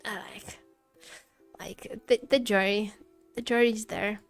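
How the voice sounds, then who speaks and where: A woman's voice: mid-range voice, steady tone, unhurried, vocal fry, soft background music. A woman livestream host chatting casually, rambling and searching for her words as she goes. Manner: rambling, hesitant word searching.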